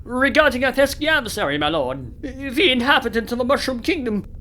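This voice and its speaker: A man's voice: very high voice, animated, fairly quick, sharp tone, slight background noise, pitched above the performer's own voice, exaggerated voice. A man voicing a nervous, fawning little turtle wizard minion in a comic audio play, flustered and stammering. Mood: anxious, fawning.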